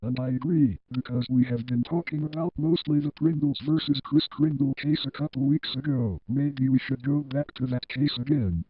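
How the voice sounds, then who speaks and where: A man's voice: mid-range voice, steady tone, normal speed, few pauses, husky, natural voice. A man acting an agreeable crewman, muffled audio. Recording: dull lo-fi audio.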